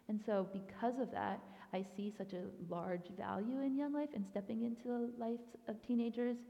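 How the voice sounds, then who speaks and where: A woman's voice: mid-range voice, warm tone, dull audio. Young woman speaking in a reverberant church. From grew up in Thailand. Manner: chatty, frequent "um" and "like". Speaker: youth ministry director, guest speaker. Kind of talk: casual church talk.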